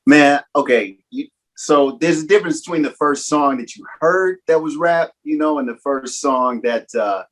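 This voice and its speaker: A man, a rapper, chatting casually on a video call, relaxed and slangy as he tells his story. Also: a little high, moderate pace. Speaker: guest, rapper.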